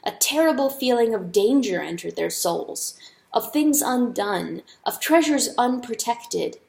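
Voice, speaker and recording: A woman's voice: on the high side, expressive, unhurried, creaky, darkish tone. A woman narrating an audiobook story as a dramatic, scripted reading. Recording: echoey, roomy sound.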